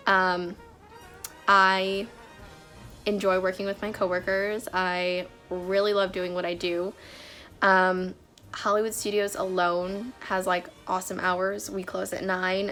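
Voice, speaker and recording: A woman's voice: mid-range voice, fairly bright tone. A young woman vlogging casually, sounding a bit nasal from a cold, chatty with frequent fillers. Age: young adult. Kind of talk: casual vlog talk.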